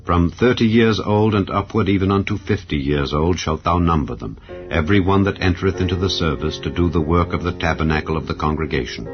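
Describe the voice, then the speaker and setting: A man with a very low voice narrates scripture for a dramatized audiobook. His delivery is formal, solemn and measured. The recording is slightly dull and lo-fi, with music playing clearly behind him. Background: soft background music.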